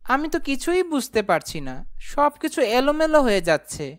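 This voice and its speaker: A woman's voice: sits high, very animated, fairly quick. A woman voicing an honest, plucky yet nervous young human who narrates a cartoon ghost story expressively. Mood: puzzled. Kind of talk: cartoon first-person narration and dialogue.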